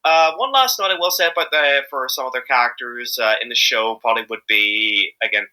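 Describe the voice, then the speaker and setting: A man speaking as an amateur voice actor being interviewed, chatting casually in a jokey, halting, rambling way. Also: mid-range voice, bright, forceful tone.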